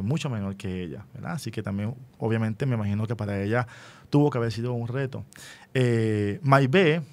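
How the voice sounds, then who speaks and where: A man with a Puerto Rican accent hosting an unscripted radio interview, speaking deliberately and often checking in with short rhetorical questions. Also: mid-range voice. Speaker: radio host and school educator.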